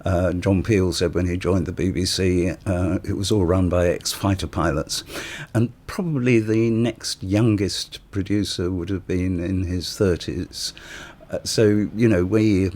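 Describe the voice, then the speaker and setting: British male interviewee, deep and husky, recalling past work in halting, unscripted talk. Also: fairly even intonation, darkish tone. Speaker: interviewee, former radio producer. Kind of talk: reminiscing in an interview.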